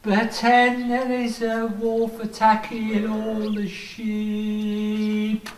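A scheming storybook sheep in a radio play, acted by a woman. The voice is medium in pitch and slow, set back from the mic in an echoey room. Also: completely flat delivery, distant mic, background noise. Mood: scheming, plain.

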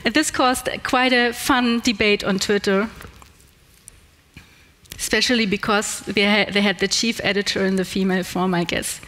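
A woman's voice: mid-range voice, fairly even intonation, normal speed, a bit bright. A middle-aged woman with a German accent, giving a conference talk and taking questions, hesitant with many fillers and restarts. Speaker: conference speaker. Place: large indoor stage. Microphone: headset.

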